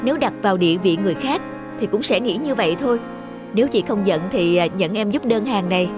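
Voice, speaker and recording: A man's voice: sits high, expressive, quick pace. An actor playing a big, kindly, harried young delivery man, polite and apologetic, in a cartoon drama. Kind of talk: animated drama dubbing. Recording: loud music behind the voice.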